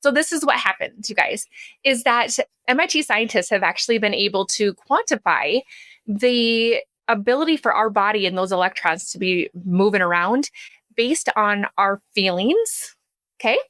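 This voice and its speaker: A woman's voice: mid-range voice, expressive, normal speed, a bit bright, no room sound. A woman speaking as a podcast guest and coach, explaining things in an emphatic, conversational way and checking that listeners follow. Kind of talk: animated explanatory talk.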